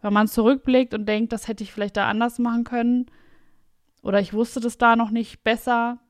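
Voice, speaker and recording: Late-20s woman rambling on a podcast, smooth higher voice, dry sound, kinda self-mocking. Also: little pitch movement, a little fast, no room sound. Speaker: podcast host. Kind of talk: casual chat.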